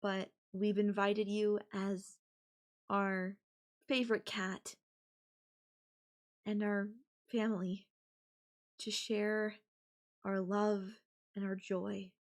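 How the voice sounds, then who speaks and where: A young woman playing a sweet, possessive yandere girlfriend in an intimate audio roleplay, speaking softly and haltingly to the listener. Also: mid-range voice, little pitch movement. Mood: ceremonial, devoted.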